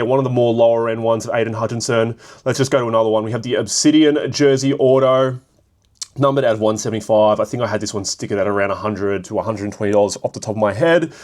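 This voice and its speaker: This is a young Australian man whose voice is raspy and a little worn from a cold. He talks at a middling pitch in a loose, rambling way, full of "uh" and "um" as he works through his thoughts out loud. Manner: rambling, filler-heavy, thinking aloud. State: hoarse from a cold. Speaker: card shop vlogger. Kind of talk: casual solo commentary.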